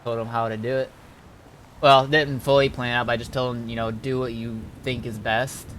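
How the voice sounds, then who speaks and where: A man's voice: medium pitch, little pitch movement, moderate pace, a bit bright, slight background noise. A man chatting casually over gameplay in a hesitant, rambling way, as a streamer would. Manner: rambling, hesitant, repeats himself. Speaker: streamer and server admin. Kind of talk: casual gameplay commentary and chat.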